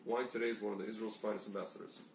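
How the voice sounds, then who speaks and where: Fast-talking man reading aloud in an echoey room. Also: lowish voice, level tone, creaky. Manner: reads aloud with stumbles and self-corrections. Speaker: podcast co-host. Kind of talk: casual chat, reading aloud from documents. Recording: echoey room, distant mic, faint noise.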